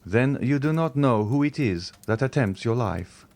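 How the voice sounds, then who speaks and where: A man voicing a grave, protective middle-aged nobleman who gives solemn, measured warnings. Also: mid-range voice, lively intonation, darkish tone, pitched above the performer's own voice, lightly acted.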